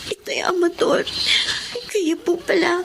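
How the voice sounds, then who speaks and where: A very high, pleading young woman's voice, playing a mistreated wife in a radio drama. She sounds tearful and distressed, over a clearly noisy track. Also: flat delivery, measured pace, heavily acted. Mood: fearful, protesting. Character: distressed young live-in wife, abused and overworked by in-laws. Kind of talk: Filipino radio drama acting.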